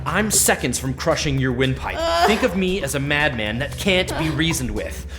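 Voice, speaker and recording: A man's voice: fairly high pitch, big pitch swings, normal speed, music in the background. A man playing a ruthless, wounded rogue agent in an audio drama, threatening and intense. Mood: menacing.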